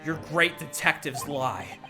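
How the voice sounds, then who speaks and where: A streamer voicing an earnest young male lawyer who is high-pitched, excitable and indignant, over game music. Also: measured pace. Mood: indignant, shocked.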